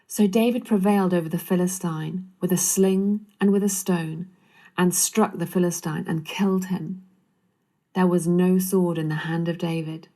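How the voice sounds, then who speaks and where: A woman with a fairly low voice reads as the narrator of a scripture story. Her delivery is scripted storytelling. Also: darkish tone.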